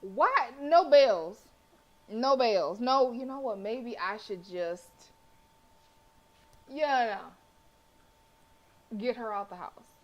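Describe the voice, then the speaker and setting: A woman's voice: fairly high pitch, animated, slow pace, long pauses, muffled recording. A sassy, colloquial woman reading and reacting aloud as she streams a game. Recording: faint background noise.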